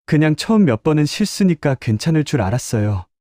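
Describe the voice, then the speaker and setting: A man's voice: a little high, very animated, a little fast, dark tone, no echo, studio quality, voice pushed up. A male narrator voicing a young male intern who dodges blame with excuses. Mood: defensive. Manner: evasive, making excuses.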